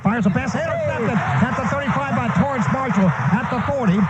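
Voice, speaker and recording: American guy doing radio football play by play, high voice, short bursts, muffled noisy old broadcast. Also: animated, fairly quick. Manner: vivid, running description in short bursts. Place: stadium broadcast booth.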